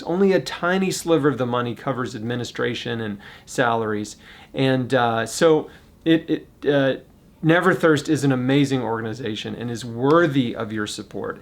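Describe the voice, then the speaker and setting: An American man speaking informally and off the cuff as a video host, earnestly encouraging listeners to support a cause. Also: medium pitch. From United States. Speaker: YouTube host making a charity fundraising appeal. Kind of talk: casual, unscripted appeal to camera.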